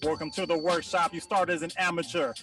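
Higher-voiced man on a distant video call. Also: little pitch movement, brisk, sharp tone. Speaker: host and rapper. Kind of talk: casual video-call chat and rapping. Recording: distant video-call sound over music.

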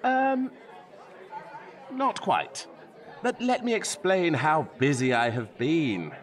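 A man's voice: a little high, expressive, slow pace, a faint crowd. A man playing a nonchalant, evasive Tudor court composer in a comic audio drama, flippant, airy and mock-earnest.

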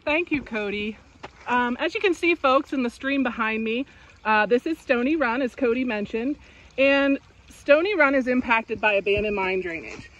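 A woman's voice: on the high side, lively intonation, normal speed, fairly bright tone, highs cut off. A woman in her thirties explaining stream pollution outdoors beside a creek, plain and explanatory with frequent ums and uhs. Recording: dry, a little distant from the mic.

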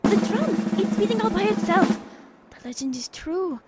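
A plucky, excitable wartime boy. The audio drama performance is eager and urgent, with clear ambient noise around it. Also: very high voice, moderate pace. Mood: excited.

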